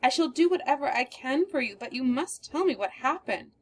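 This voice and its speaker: A woman reading a calm, kindly gentleman. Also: high-pitched, brisk, voice pushed up. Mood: soothing. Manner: soothing, reassuring.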